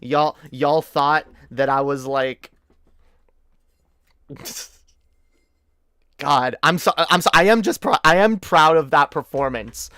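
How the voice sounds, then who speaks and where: A man from Canada hosting a casual stream, reacting to his own old clips and breaking into high-pitched laughter. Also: slow, long pauses, bright, forceful tone, clean tone, no room sound, clean studio sound.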